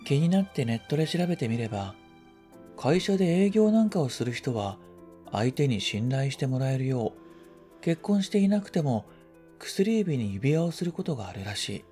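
A man reading a first-person literary story as scripted narration, in a quiet, reflective, measured manner. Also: medium pitch, sing-song, faint music behind. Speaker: story reader.

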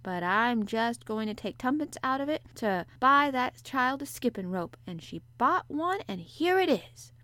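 Storybook role: young Yorkshire housemaid, played by a woman narrator. Cheerful, excitable, chatty dialect, dry recording. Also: fairly high pitch, moderate pace, few pauses, no room sound, voice pushed up. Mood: proud, excited. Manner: exclamatory, chatty, dialect-speaking.

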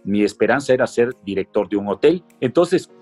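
Husky low-voiced Mexican man recounting his life. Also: animated, fairly quick, a little mellow, faint music behind. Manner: earnest storytelling, quoting his parents. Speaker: interviewee. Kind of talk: conversational life story.

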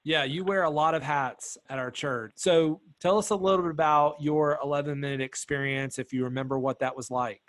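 A man's voice: fairly high pitch, fairly even intonation, brisk, hardly any pauses, a bit bright, no echo. A man hosting a church volunteer podcast, upbeat and encouraging as he talks with a guest.

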